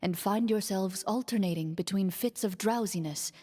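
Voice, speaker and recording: An actress playing a reserved, composed young duelist in a video game, speaking in a measured, matter-of-fact way. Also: female voice, mid-range voice, dark tone, close to an everyday voice. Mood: solemn narrating. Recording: reverberant game audio over music.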